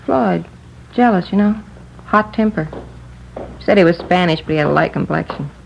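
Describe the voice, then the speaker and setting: An actress playing a chatty, distracted market counter clerk in a naturalistic 1950s radio drama, speaking casually and haltingly. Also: female voice, fairly low pitch, creaky. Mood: casual, recalling. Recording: dull, faintly noisy old radio recording.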